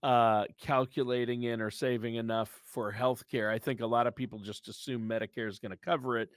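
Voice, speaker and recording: Male American podcast co-host, medium pitch, dry sound. Casual, affirming, conversational delivery. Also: fairly even intonation, brisk, no room sound. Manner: agreeable, affirming.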